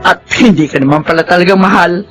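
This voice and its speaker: A woman's voice: lowish voice, fast talker, a bit bright, faint music behind, lightly acted. A woman with a Filipino accent playing an anguished, scolding middle-aged mother in a radio drama. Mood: shocked, scolding.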